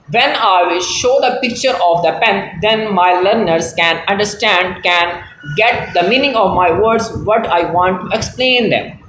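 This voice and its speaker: Slow, hesitant man with a Pakistani accent. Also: high voice, fairly bright tone, reverberant, slight background noise, low-quality recording. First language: Punjabi. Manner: slow, repetitive, hesitant with frequent fillers. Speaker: teacher. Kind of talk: online English lesson.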